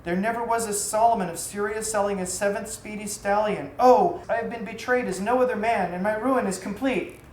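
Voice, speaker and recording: A woman's voice: mid-range voice, fairly even intonation, a little fast, few pauses, darkish tone, close to an everyday voice. Storybook role: despairing male navigator, grandiloquent and rueful, read by a woman; roomy, echoing recording. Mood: despairing, betrayed. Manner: melodramatic, lamenting. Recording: echoey room, faint background noise.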